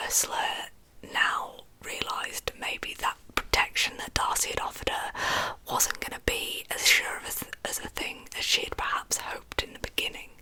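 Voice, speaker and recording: A woman whispers a true crime story for an ASMR channel. Her voice is all breath, very crisp and bright in its hiss. She tells it softly, like a storyteller, with casual asides along the way. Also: poor audio.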